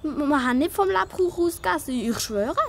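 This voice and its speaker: A woman's voice: sits high, lively intonation, fast talker, vocal fry. A woman playing a small anxious child lost in the forest in a children's audio drama, jittery and childlike, with noisy forest ambience. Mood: anxious, pleading. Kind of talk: children's detective fairy-tale audio drama.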